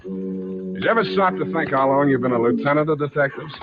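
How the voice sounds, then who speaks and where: A man's voice: mid-range voice, expressive, a little fast, close to an everyday voice. An old, muffled radio recording with a crowd-like murmur behind carries a hard-boiled detective played by a man. He is cocky and taunting, trading wisecracks in a 1940s radio drama manner.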